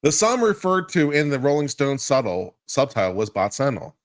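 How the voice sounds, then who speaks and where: A man appearing as a guest commentator, giving a deliberate, theatrical reading of a lawsuit. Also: mid-range voice, very animated, dry recording, studio quality. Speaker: guest commentator on a legal show.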